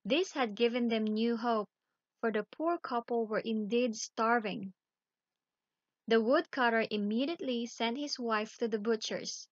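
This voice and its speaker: A woman reads a children's tale aloud at a slow, deliberate pace. Her voice sits on the high side. The recording is very dry and a little dull. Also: no echo. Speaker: storyteller. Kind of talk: children's bedtime story read aloud.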